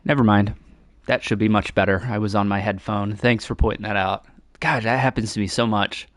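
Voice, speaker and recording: A raspy-voiced man hosting a casual gameplay walkthrough, speaking close to his mic and keeping his voice down. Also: lowish voice, steady tone, a little fast. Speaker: gaming walkthrough host. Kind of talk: casual live gameplay chat. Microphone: console headset or close mic.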